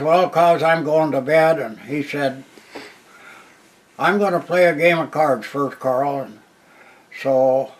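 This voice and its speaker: Slow-telling veteran in his eighties. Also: fairly high pitch, poor audio. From Minnesota, USA. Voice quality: loud voice. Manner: plain unhurried retelling with restarts. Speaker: war veteran interviewee. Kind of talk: oral history storytelling.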